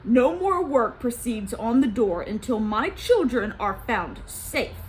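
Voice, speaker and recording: A woman voicing a fearless male doctor and worried father in a storybook, speaking in a firm, measured way. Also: fairly high pitch, sing-song, a little hiss or hum, poor audio. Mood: defiant.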